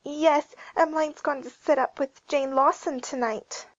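Woman putting on a high, fluttery, timid spinster. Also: fairly even intonation, unhurried, highs cut off. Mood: fluttered. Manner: breathless and anxious.

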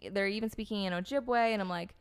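Chatty American woman with a smooth voice. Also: medium pitch, fast. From United States. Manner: rambling, heavy use of "like" and "um", frequent restarts. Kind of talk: casual conversational storytelling.

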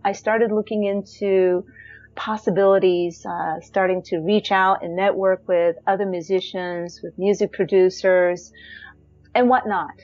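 High-voiced woman telling her story. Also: a little mellow, no echo, dull audio. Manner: reflective, chatty, many fillers and restarts. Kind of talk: personal storytelling.

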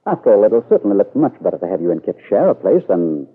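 An actor playing an evasive, smooth-talking man who placates and coaxes, in an old-time radio soap. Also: lowish voice, sing-song, fast. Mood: defensive, placating. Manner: placating, eager, chuckling. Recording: muffled narrow-band vintage radio recording.

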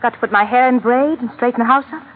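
A woman's voice: on the high side, fast, close to an everyday voice. A woman playing a coquettish, dreamy, evasive married lover in 1940s radio melodrama style. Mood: airy, evasive. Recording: narrow old radio recording, faint noise.

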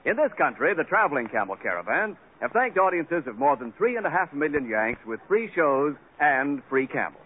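A man announcing a 1940s radio program in a formal, polished style, reading sponsor copy and a salute. His voice is fairly high. The sound is thin and tinny, like an old broadcast. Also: very animated, fairly quick, few pauses. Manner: smooth, formal announcer delivery.

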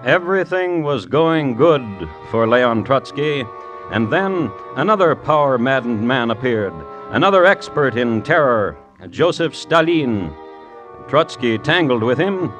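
A man playing a droll crime connoisseur, narrating deliberately as host of an old radio show. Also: medium pitch, lively intonation, a bit bright, faint music behind. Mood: dry, deliberate. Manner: dry, deliberate, wry. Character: droll, morbid male crime connoisseur and storyteller. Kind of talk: 1950s radio scripted narration.

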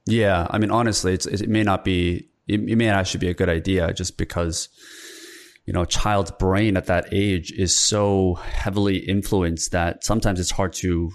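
A young man hosting a podcast, with a very deep voice. He talks casually and loosely, circling around before he lands on a question. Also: fairly even intonation, moderate pace. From Korea. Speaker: podcast host. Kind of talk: casual interview chat.

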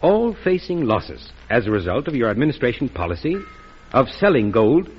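An actor playing a polite, insinuating financier who feigns humility, in an old radio drama. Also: male voice, mid-range voice, big pitch swings, normal speed, natural voice. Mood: courteously persuasive. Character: smooth-talking, scheming, falsely humble male financier. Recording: dull old radio recording with hiss.

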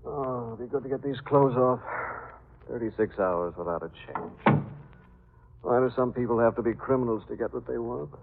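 An actor playing a weary yet defiant wealthy young detective in a 1940s radio drama, on a tinny recording. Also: male voice, medium pitch, normal speed, vocal fry, slight background noise, lightly acted.

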